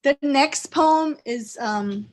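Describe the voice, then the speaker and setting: A poet whose first language is a Chinese dialect, speaking with a Chinese accent and reading her poems aloud in slow, deliberate phrases with pauses. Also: high voice. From China. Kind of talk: poetry reading. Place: live reading event.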